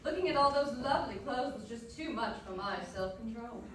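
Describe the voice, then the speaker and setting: A woman performs as a fashion-loving radio columnist of the 1940s. The voice sits a little high, with chatty, scripted salesmanship. It sounds far from the mic in a very reverberant hall with faint background noise. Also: expressive, fairly quick, lightly acted. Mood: chatty, enthusiastic. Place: live stage performance in a dance hall.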